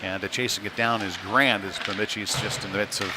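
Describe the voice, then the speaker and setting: A man's voice: fairly low pitch, fairly even intonation, a little fast. A man giving live play-by-play of a youth ice hockey game in short, clipped phrases that trail into asides. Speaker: play-by-play commentator. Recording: noisy rink with ambient clatter behind. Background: rink game noise.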